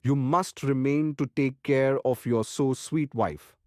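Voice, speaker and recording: An actor playing an older, earnest professor in an audiobook drama, speaking deliberately and emphatically with quaint word order. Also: male voice, mid-range voice, no echo, clean studio sound, lightly acted. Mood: firm. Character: older male professor, earnest vampire hunter with quaint phrasing.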